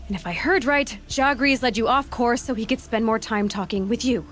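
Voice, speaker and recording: Woman playing a direct young huntress, highish voice. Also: fairly quick, fairly bright tone, close to an everyday voice. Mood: impatient. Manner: direct, matter-of-fact. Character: young female huntress hero, capable, direct, impatient. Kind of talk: video game voice acting. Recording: game music behind the voice.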